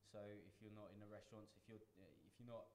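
A man's voice: fairly low pitch, fairly even intonation, fast, darkish tone. A man in his forties, a chef on a panel, talking earnestly to students with many restarts. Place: large function room with audience. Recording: echoey hall, faint room noise.

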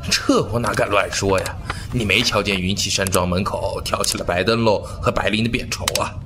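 A man's voice: on the low side, fairly even intonation, fairly quick, background noise, close to an everyday voice. A man voicing a gossiping male shopkeeper at a teahouse in an audio drama. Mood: gossipy. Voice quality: chatty, gossipy. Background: music.

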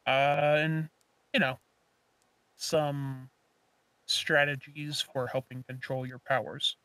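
A woman's voice: a bass voice, level tone, slow pace, fairly bright tone, dry recording, heavily acted, poor audio. A woman playing an easygoing, offhand dragon slayer mentor in a game roleplay, musing casually. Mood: easygoing, cheerful. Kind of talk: amateur roleplay acting in a game video.

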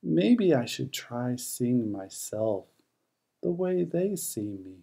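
A man narrates a children's picture book in rhyme. His voice is warm and relaxed with some creak at the edges. He takes his time, letting the pitch rise and fall expressively through each verse. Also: takes it slowly. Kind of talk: read-aloud rhyming picture book narration.

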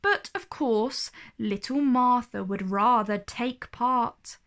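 A woman narrating a children's storybook aloud with expressive, deliberate phrasing. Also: a little high.

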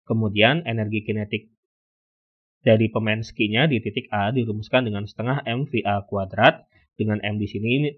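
A man tutoring, patiently walking through a physics problem and filling pauses with "ya" and "oke". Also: lowish voice, slightly rough. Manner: steady, patient, with frequent "ya" and "oke" fillers. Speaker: online tutor. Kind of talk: explaining a physics problem on a whiteboard. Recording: lo-fi phone-like recording.

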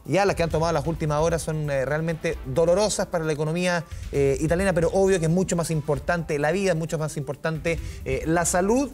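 A man anchors live television news in a high, light voice. He talks conversationally and circles back over his points as he goes. Faint music sits under the studio sound. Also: fast, few pauses, a little mellow. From Chile. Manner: conversational, repeats and restates points.

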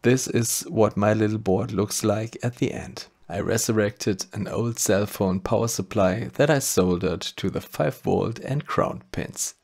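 A man's voice: lowish voice, unhurried, few pauses, darkish tone, breathy edge. A man with a German accent narrating a scripted electronics tutorial step by step. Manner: methodical step-by-step explaining. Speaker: maker channel host.

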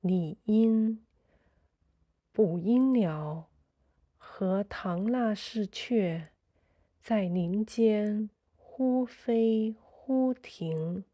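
A woman narrating a guided sleep meditation in a soothing, measured way, in short phrases with pauses. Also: mid-range voice, warm tone.

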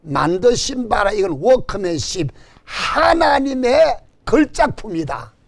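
An older man with a Gyeongsang accent preaching an animated sermon at a stand mic on a church stage, calling for amens and joking with the congregation. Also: high-pitched, takes it slowly, creaky. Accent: Gyeongsang Korean. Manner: animated preaching with call-and-response and jokes. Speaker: pastor. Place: church stage before a banner. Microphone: stand mic in front.

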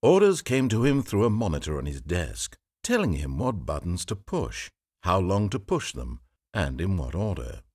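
An actor voicing a game's male narrator, speaking in a measured, wry and theatrical way. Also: low voice, huge pitch swings, darkish tone, husky, no room sound, heavily acted. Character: dry, wry male storybook narrator of a game. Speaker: game narrator. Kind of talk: scripted narration. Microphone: studio microphone. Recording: dry close studio recording.

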